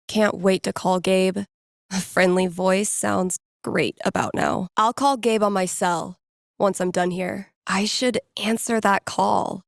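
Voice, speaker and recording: A woman's voice: mid-range voice, vocal fry, dry recording, studio quality, lightly acted. A woman voicing a wry, casual young American record store DJ in a video game, muttering to herself with self-amusement. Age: young adult. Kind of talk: naturalistic video game voice acting.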